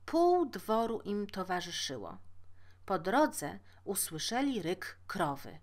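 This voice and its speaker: Woman reading a storybook, really slow measured pace, medium pitch, expressive. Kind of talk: scripted fairy-tale narration.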